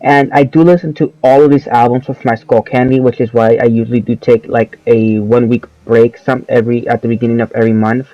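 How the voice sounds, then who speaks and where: A man's voice: mid-range voice, little pitch movement, a little fast, few pauses, warm tone, no room sound, dull audio. Eighteen-year-old guy rambling about albums. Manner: rambling, self-correcting, casual slang. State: voice lowered from oncoming sickness. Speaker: album review channel host. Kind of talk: casual spoken album reviews.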